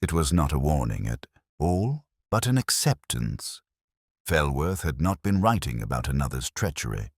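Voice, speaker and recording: A man playing a brooding, world-weary older police inspector, narrating a detective drama in a measured, formal, wry manner. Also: rumbling low, very animated, no room sound, studio quality, heavily acted. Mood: melancholy, reflective. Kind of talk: scripted first-person literary narration.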